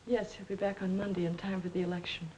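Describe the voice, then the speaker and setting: A woman's voice: medium pitch, little pitch movement, quick pace, lightly acted, rough recording. A woman playing a poised, guarded young politician's daughter in a 1940s crime film, reserved and coolly polite. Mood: cool, composed. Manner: reserved, soft-spoken, composed. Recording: hissy old 1940s film soundtrack.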